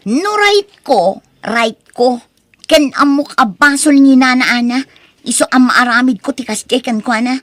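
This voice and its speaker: A woman's voice: sits high, animated, a little slow, fairly bright tone. A woman with an Ilocano Filipino accent playing a stern, nagging wife in an audio drama, scolding and emphatic. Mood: angry, accusing. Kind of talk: radio-style audio drama acting.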